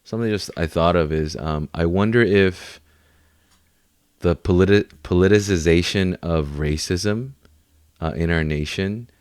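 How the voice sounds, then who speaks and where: A man's voice: low voice, clean tone, no echo, clean studio sound. A man co-hosting a podcast, talking casually in informal, bro-ish slang. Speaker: podcast co-host.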